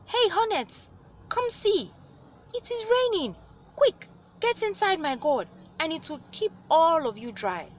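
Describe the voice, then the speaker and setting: A woman's voice: very high-pitched, sing-song, a little slow, voice pushed up, full character voice. A woman voicing a clever little male spider from a storybook, eager and coaxing as he pleads and exclaims. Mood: urgent, excited.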